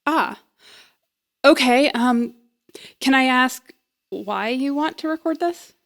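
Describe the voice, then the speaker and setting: A woman's voice: sits high, slow pace, a bit bright, exaggerated voice. A woman playing a nervous, jokey young woman who rambles and puts herself down as she bluffs through an interview in an audio drama. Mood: bluffing. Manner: rambling, self-deprecating, flustered. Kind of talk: audio drama acting, conversational comedy.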